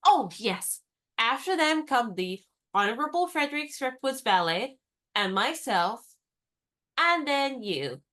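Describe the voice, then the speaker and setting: An actress in a radio play playing a spirited young woman disguised as a lady's maid, talking in a brisk, teasing, knowing way. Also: high-pitched, big pitch swings, pushed and bright, heavily acted.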